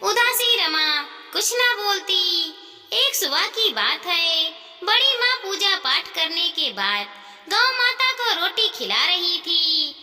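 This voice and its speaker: A woman narrating a scripted moral story in a measured, dramatic storytelling manner with drawn-out phrases. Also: extremely high pitch, very animated, sharp tone, soft background music. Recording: distant voice with long hall reverb.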